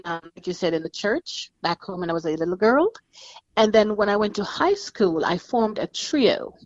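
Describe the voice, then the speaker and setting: A woman's voice: medium pitch, darkish tone, no echo, highs cut off. A middle-aged woman with a Jamaican accent, answering interview questions conversationally as a singer guest.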